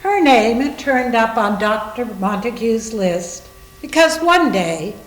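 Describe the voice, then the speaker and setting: A woman reading literary narration aloud in a measured, deliberate way, as part of a readers theater. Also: fairly high pitch, lively intonation. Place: indoor room, live library reading. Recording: echoey room.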